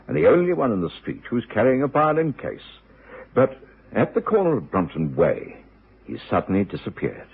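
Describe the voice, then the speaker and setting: An actor playing a loyal middle-aged British gentleman doctor, telling the story earnestly and formally in old radio drama style. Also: sits low, vocal fry, husky, exaggerated voice. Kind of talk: 1950s-60s radio drama acting and narration. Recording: muffled, noisy old radio recording.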